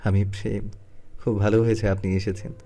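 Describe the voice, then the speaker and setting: A man's voice: lowish voice, level tone, fairly quick, lightly acted. A man voicing a friendly young man newly back from abroad in an audio story. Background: soft music.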